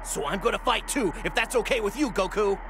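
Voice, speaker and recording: A woman's voice: mid-range voice, animated, fairly quick, background noise, natural voice. An actor voicing a friendly, wry, short male martial-arts sidekick in an anime game, good-natured and slightly nervous. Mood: resolved. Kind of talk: English-dubbed anime game voice acting.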